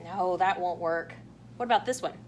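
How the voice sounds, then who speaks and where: A woman reading a picture book aloud to young children in a playful, animated way, asking exaggerated wondering questions. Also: fairly low pitch, normal speed, slight background noise. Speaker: children's read-aloud host.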